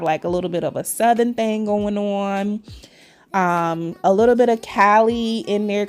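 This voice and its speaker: Woman, 40s, Trinidadian and New York accent, middle pitch, chatty nail vlogger, faint music under. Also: a little mellow. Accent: Trinidadian mixed with New York. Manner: chatty, addresses viewers as cuties, says y'all. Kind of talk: casual chat while doing nails.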